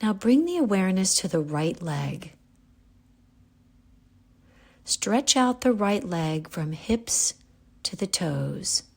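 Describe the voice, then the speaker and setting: A woman guiding a yoga nidra relaxation, giving calm, gentle, unhurried instructions with pauses. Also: mid-range voice, creaky, breathy edge. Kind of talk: guided relaxation meditation.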